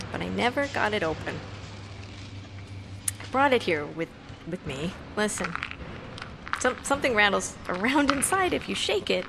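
A creaky-voiced young woman mourns her brother in an audio drama monologue. She rambles haltingly and emotionally, with a self-dramatizing streak and middling pitch. A faint noise sits behind her. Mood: rueful, then anguished, desperate. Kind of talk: audio drama monologue, naturalistic confessional speech.

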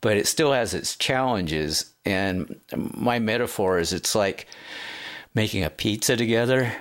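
A man hosting a casual interview, rambling and self-deprecating, with many halting fillers. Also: lowish voice, expressive. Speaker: host and songwriter.

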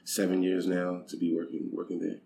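A man's voice: low voice, completely flat delivery, fairly quick, creaky, dark tone. A man from Haiti who grew up in the United States, a charity director, speaking thoughtfully in an interview and often repeating words as he finds them. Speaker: guest, charity country director. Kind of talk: conversational interview. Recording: echoey room with faint noise.

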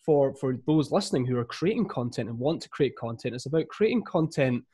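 A man's voice: mid-range voice, a little fast, breathy edge, dry recording, studio quality. A man in his thirties from the east coast of Scotland with a Scottish accent, talking with energy as a guest on a podcast interview. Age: 30s. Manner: energetic, upbeat. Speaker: guest, marketing coach.

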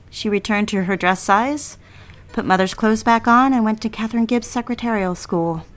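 A romantic young woman, played by an actress speaks at a middling pitch. She is earnest and reflective, confiding her feelings with measured, wistful care in the style of an audio drama. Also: faint music behind, natural voice. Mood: tender, sleepy. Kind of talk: dramatic dialogue and reflective first-person narration.

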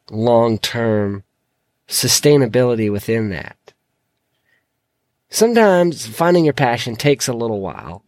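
A man hosting a solo podcast, his voice croaky from a sore throat, talking in an earnest, encouraging way. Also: mid-range voice, a little mellow, no room sound. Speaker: podcast host.

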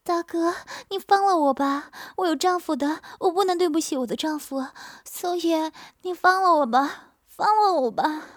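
A woman's voice: very high voice, normal speed, hardly any pauses, dry recording, studio quality, voice pushed up. A woman voicing a young wife who pleads and cries out while pretending to be afraid, in a storybook reading. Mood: pleading. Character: playful young wife acting scared.

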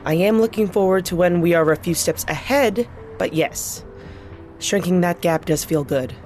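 An actress plays a dry, insistent driver in an audio drama. Her woman's voice is slightly low, clipped and deadpan, over faint music. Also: normal speed, close to an everyday voice. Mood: measured. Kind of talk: naturalistic audio drama acting.

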